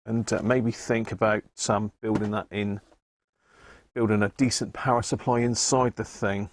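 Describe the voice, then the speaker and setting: A man with a fairly low voice talks casually through a live repair session. He thinks aloud as he works, in a chatty way. There is clear background noise with small clicks. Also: level tone, moderate pace. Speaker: host of an electronics repair livestream. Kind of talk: casual live workbench commentary. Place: indoors at an electronics workbench.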